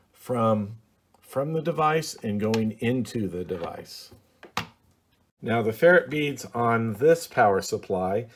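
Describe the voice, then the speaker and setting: A man's voice: on the low side, slightly rough. A middle-aged man casually explaining a hobby project in a home office, hesitant and plainspoken with frequent ums. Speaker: radio hobby video host. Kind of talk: casual how-to demonstration.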